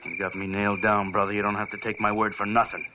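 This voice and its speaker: A man's voice: fairly low pitch, little pitch movement, fast talker, lo-fi sound, close to an everyday voice. A man playing a cocky henchman with slangy street talk in a 1940s radio drama. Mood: defiant. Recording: old radio recording, hiss.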